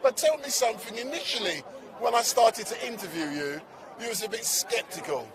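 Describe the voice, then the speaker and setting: A very high-voiced man from Barbados interviews people at a street protest, chatty and teasing. He keeps cutting in to hold the floor. A crowd loudly fills the outdoor recording behind him. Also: animated, a little fast. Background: crowd noise.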